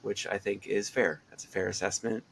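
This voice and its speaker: A man's voice: fairly low pitch, flat delivery, moderate pace, creaky, husky. A man, a comic writer, talking reflectively in a casual interview while stuffy with a cold. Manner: reflective, with fillers and laughs. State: stuffy, congested.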